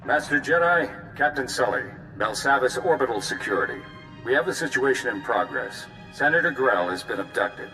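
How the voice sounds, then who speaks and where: Game security officer: formal, clipped, bright hard-edged voice, roomy echo, light music. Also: male voice, medium pitch, animated, normal speed. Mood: urgent, official. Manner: formal, clipped military reporting. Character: urgent, businesslike male security captain. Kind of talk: video game cutscene acting.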